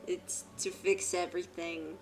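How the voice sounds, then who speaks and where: A woman's voice: lowish voice, level tone, a little slow, faint music behind. A woman streamer giving casual live commentary while playing a video game. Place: streaming over game music.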